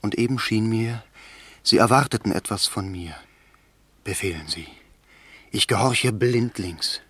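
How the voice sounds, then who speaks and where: An actor in a German radio play voicing a melancholy, lovesick young poet in a fervent, declamatory manner. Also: male voice, on the low side, unhurried, a bit bright, breathy edge, no room sound. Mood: fervent, adoring.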